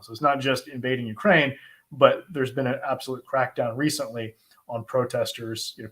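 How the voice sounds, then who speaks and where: A man with an American accent answering viewer questions in an informal, conversational way, thinking aloud with pauses as he explains. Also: mid-range voice, a bit bright. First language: English. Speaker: host, asylum lawyer answering viewer questions. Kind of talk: informal live Q&A, explaining law.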